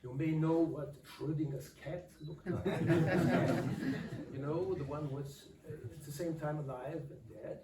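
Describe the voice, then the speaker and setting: An older man, moderating a public talk in a lecture hall in a halting, pausing way. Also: fairly high pitch, fairly even intonation, heavy background noise. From Austria. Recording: echoey hall from far off.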